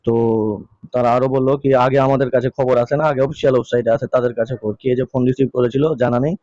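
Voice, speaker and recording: Fast-talking mellow man. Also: mid-range voice, little pitch movement, no echo. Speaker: video host. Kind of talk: casual vlog update. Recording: dull, lo-fi close dry sound.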